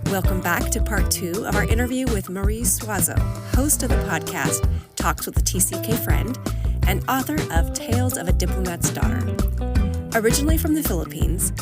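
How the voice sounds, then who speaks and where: A woman's voice: mid-range voice, expressive, brisk, loud music behind the voice. A woman co-hosting a podcast, presenting and interviewing in a conversational way. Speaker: podcast co-host. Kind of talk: scripted intro and conversational interviewing.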